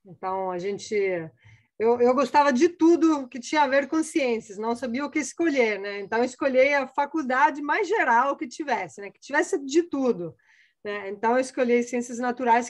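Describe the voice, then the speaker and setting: Brief: Italian-accented woman, forties, chatty scientist guest, slightly high voice. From Italy. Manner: chatty, many fillers and restarts. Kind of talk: casual interview answers.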